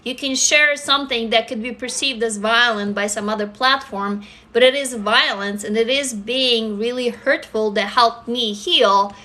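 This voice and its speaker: A woman in her forties with a Russian accent talks straight into her phone from a desk at home. Her voice sits high and bright, and she chats openly with viewers, dropping swear words without a second thought. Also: normal speed. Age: 40s. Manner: candid, swears freely, chatty asides to viewers. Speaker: livestream host. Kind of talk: casual livestream chat. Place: indoor room at a desk. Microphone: phone camera close up.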